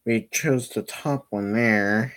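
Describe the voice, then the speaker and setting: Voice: mid-range voice, slow pace, a bit bright. A game streamer giving casual, self-directed commentary while playing, muttering and often swearing. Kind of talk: casual livestream gameplay commentary.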